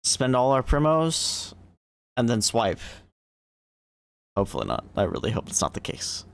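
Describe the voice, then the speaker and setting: A man's voice: medium pitch, wildly swooping pitch, normal speed, vocal fry, a bit bright. A man livestreaming a game, chatting casually and swearing as he talks to himself and the game. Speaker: gaming livestreamer. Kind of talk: casual livestream chat while playing. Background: video game music.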